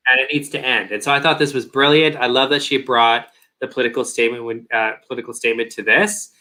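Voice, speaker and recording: An earnest man with a bright, forceful tone, co-hosting a casual review chat. He halts and restarts often, and his voice is wearing thin. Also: medium pitch, steady tone. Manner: earnest, halting, many "um" and "uh" restarts. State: losing his voice. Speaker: podcast co-host.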